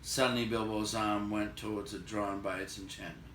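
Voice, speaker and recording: A man's voice: on the low side, level tone, moderate pace, a bit bright. Man reading a story in an echoey room. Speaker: storybook reader. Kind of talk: expressive read-aloud narration of a fantasy novel. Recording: echoey room, distant mic, faint noise.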